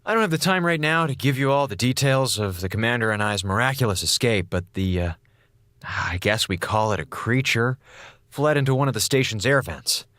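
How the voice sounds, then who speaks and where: A man's voice: medium pitch, expressive, a little fast, fairly bright tone, no room sound, studio quality, lightly acted. A man with an American accent playing a glib, wry, wisecracking communications officer on a space station in a radio drama. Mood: wry, gleeful. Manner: glib, wry, theatrical, stammering when cornered. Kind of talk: radio drama acting with narrated log entries.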